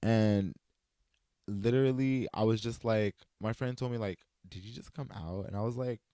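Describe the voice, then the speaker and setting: A young man from the States telling personal stories in a casual sit-down chat, animated and playful, quoting himself in a higher voice. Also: moderate pace, no room sound. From United States. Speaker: vlogger.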